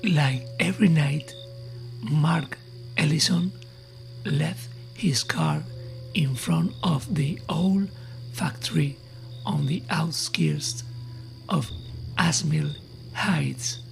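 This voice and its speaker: A woman narrates a horror story at an extremely slow, suspenseful pace. Her low voice has a strong gravelly fry, and music plays underneath. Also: low-quality recording. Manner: measured, suspenseful.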